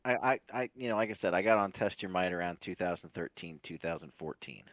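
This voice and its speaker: A man of about forty chatting casually as an interview guest, halting and often repeating his words. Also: low voice, fairly bright tone, no echo, clean studio sound. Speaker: interview guest, competitive player. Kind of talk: casual chat, recollecting.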